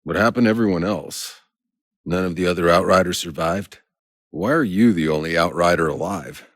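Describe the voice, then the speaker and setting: Gruff video game soldier, extremely low voice, world-weary, cynical, blunt, close dry sound. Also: male voice, normal speed, a bit bright, no echo. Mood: questioning, wary. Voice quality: gravelly. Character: gruff, world-weary male Outrider soldier, sardonic and foul-mouthed.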